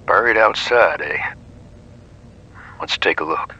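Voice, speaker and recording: Gruff male supernatural investigator, acted by a man, very deep, piercingly forceful tone, brooding, terse, analog horror drama. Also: takes it slowly, lo-fi sound, slight background noise, exaggerated voice. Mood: brooding, wary. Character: hard-bitten, world-weary male investigator of the supernatural.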